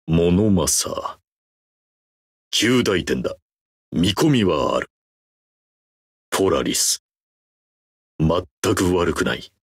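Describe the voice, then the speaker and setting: A man's voice: low-pitched, animated, very slow, pauses often, slightly rough, no room sound, clean studio sound, exaggerated voice. A man voicing a stern, proud horned demon trainer in a mobile game, giving clipped, imperious, grandiose commands. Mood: stern approval. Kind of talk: anime game character voice acting.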